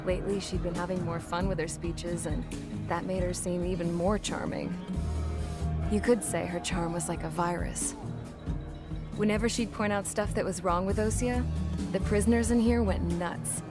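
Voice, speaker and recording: A woman's voice: lowish voice, fairly even intonation, normal speed, natural voice. An actress playing a tough, foul-mouthed female prisoner mechanic in a video game, narrating cutscenes with blunt, wry slang. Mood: wry, admiring. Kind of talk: scripted first-person cutscene narration. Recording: loud music under the voice. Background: loud game soundtrack music.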